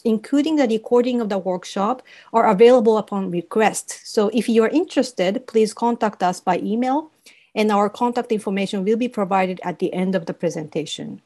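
A woman's voice: mid-range voice, warm tone. A woman from East Asia, a native Japanese speaker with a Japanese accent, giving a scripted academic presentation as a professor. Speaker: university professor presenting research. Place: video call.

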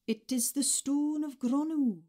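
A woman voicing a solemn man who talks quietly and gravely in a formal way. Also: sits high, slow, voice pushed up. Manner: grave, hushed, formal phrasing.

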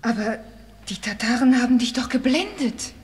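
A high, youthful female voice from an actress playing a devoted young Russian woman in an audio drama. She sounds emotional and anxious. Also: expressive, moderate pace, a little mellow, natural voice. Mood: amazed. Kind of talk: German radio play acting.

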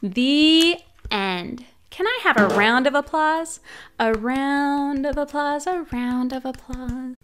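A young woman librarian reading a picture book aloud to children, sounding out letters playfully in a slow, animated storytime style. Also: high voice. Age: young adult. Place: small home room, indoors. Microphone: large studio mic on arm in front of her.

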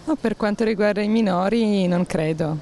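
Woman, outdoor vox pop, lower voice for a woman, short firm answers, faint street noise. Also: normal speed, mellow. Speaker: passer-by interviewee. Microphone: handheld mic held by interviewer.

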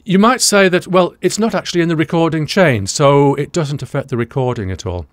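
A man's voice: on the high side, fairly quick, no room sound. Dry-sounding older British man explaining audio. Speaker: audio engineering presenter. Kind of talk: explanatory reaction commentary to camera. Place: small indoor studio room, dry.

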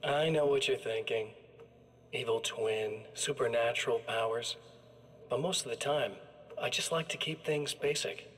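A man's voice: medium pitch, unhurried, fairly bright tone, close to an everyday voice. A man playing a sadistic evil-twin killer in a video game, chatting with smug, casual menace. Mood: smug relish. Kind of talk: video game monologue acting.

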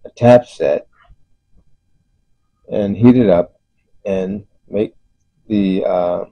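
A man giving an unscripted explanation as host of a DIY video, speaking haltingly with frequent pauses. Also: sits low. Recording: muffled, roomy voice-over recording.

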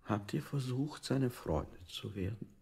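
An actor playing a controlling interrogator in a 1960s experimental radio play, probing and insinuating with menacing politeness. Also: male voice, mid-range voice, measured pace, creaky, darkish tone.

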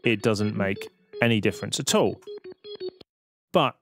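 A man giving a casual, conversational software tutorial voice-over, explaining things step by step. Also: fairly low pitch, measured pace, soft background music. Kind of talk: casual screen-recorded tutorial narration.

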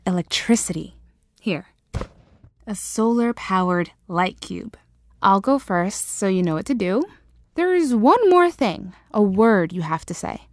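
A woman acting in an audio drama as a kind, excitable shop owner with a secret, speaking expressively. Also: mid-range voice, a little slow, natural voice. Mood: instructive.

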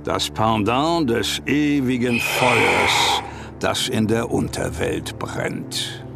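A stern oracle of the mists for a video game. He speaks very slowly in a gravelly voice, solemn and disdainful, with music behind him. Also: lowish voice, exaggerated voice. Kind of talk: scripted video game dialogue.

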